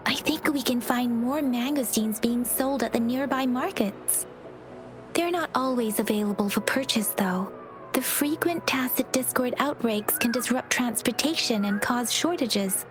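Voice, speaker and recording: A woman playing a gentle, studious young scholar in a video game, polite and measured as she explains. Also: on the high side, close to an everyday voice. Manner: measured, soft-spoken, explanatory. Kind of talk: English video game voice acting.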